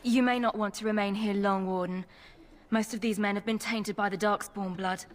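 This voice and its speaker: An actress playing a composed, polite healer in a fantasy game, speaking formally and with gentle firmness. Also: female voice, mid-range voice, fairly even intonation, normal speed, close to an everyday voice. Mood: cautioning.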